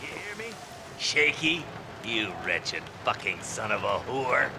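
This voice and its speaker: Gravelly, taunting Western villain. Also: male voice, medium pitch, very animated, slow pace, background noise, exaggerated voice. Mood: menacing, jeering. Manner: taunting, menacing. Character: cruel, foul-mouthed Western villain tormenting a captive. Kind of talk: video game cutscene acting.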